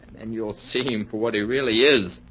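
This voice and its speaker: An actor playing an earnest, lovesick young fiance in an intense, pleading 1940s radio style. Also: male voice, lowish voice, fairly even intonation, normal speed, over loud music, natural voice. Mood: bitter warning. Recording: dull vintage 1940s radio recording.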